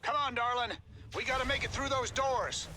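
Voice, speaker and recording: A man's voice: very high-pitched, normal speed, background noise. A man voicing a loyal, weary middle-aged rebel soldier in a video game, folksy and plain-spoken. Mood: fond, urging. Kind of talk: video game character dialogue. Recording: game music behind.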